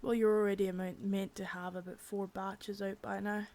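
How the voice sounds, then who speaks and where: Young woman playing a contemptuous female drug-ring boss, quiet menace, cursing, part-whispered; medium pitch. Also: flat delivery, moderate pace, slight background noise, lightly acted. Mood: cold contempt. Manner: menacing, swearing, soft with bits of whisper. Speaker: ASMR creator. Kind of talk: unscripted ASMR roleplay.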